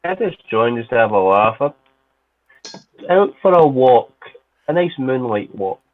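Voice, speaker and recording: A man's voice: mid-range voice, sing-song, measured pace. A man with a Scottish accent chatting casually and jokingly, out on a walk. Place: outdoors, walking. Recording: tinny phone-like call audio.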